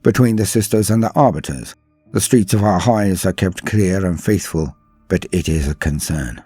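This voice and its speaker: A man playing a proud, weary planetary ruler in a sci-fi audio story, speaking formally and grandly in a measured, husky delivery. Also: deep voice, warm tone, no room sound, soft background music. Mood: grave concern. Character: weary proud noble planetary governor, man, grim and resolute. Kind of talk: dramatic first-person sci-fi audio story narration.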